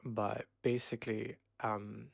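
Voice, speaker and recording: A man in his twenties from Pakistan, hosting a casual solo podcast and rambling with many pauses and self-corrections. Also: on the low side, level tone, gravelly, no echo, clean studio sound. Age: 20s. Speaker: podcast host.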